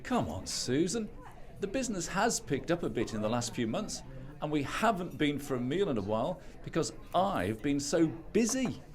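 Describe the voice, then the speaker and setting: A man's voice: on the high side, very animated, normal speed. A man in an amateur radio play acting a defensive, sarcastic husband who is hiding something. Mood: placating. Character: evasive, irritable husband hiding a secret.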